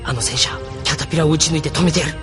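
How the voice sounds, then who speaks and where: Man doing a brash young pilot, high-pitched, headstrong, anime audio drama, music underneath. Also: steady tone, racing, natural voice. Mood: determined. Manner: defiant, headstrong.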